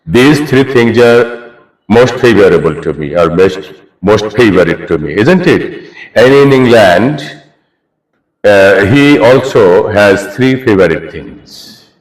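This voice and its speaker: Bangladeshi male journalist, low voice, lecturing interviewer, roomy echo. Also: animated, slow pace. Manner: lecturing, repeats points and asks "isn't it?".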